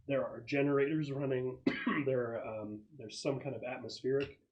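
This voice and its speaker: A man's voice: mid-range voice, fairly even intonation, normal speed, a little mellow, dull audio. A man narrating a tabletop roleplaying game as game master, describing scenes in a conversational way.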